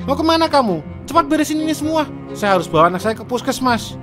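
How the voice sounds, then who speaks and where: A man's voice: very high voice, sing-song, fast, music in the background. A man voicing an arrogant, stingy middle-aged husband who owns a food stall and bullies his workers. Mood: furious. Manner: blustering, indignant, shouting. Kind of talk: cartoon drama voice acting.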